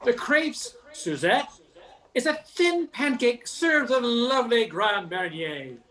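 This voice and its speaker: A man's voice: extremely high pitch, very animated, takes it slowly, a crowd in the background, exaggerated voice. An older man playing a cocky, joking diner customer in an amateur radio play, with a theatrical, insistent manner. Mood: smug, insistent. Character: arrogant, nosy male diner customer and joking clown.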